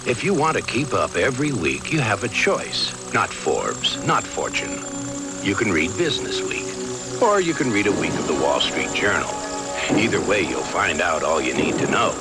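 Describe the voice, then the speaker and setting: A man's voice: deep voice, sing-song, moderate pace. A man narrating a scripted commercial as its announcer. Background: music.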